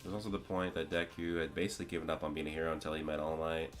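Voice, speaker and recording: A man's voice: a bass voice, little pitch movement, rapid-fire, faint music behind. A man live-streaming, chatting casually and answering viewers' questions, his voice getting scratchy from a long day of talking. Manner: answers chat questions, rambling with restarts. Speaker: streamer discussing anime with chat.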